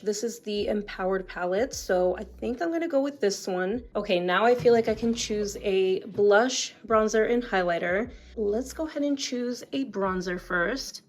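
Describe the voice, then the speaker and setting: A woman with a hoarse voice from a cold, chatting casually as she picks makeup for a beauty vlog. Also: medium pitch. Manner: chatty, relaxed, picking products aloud. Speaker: beauty vlogger.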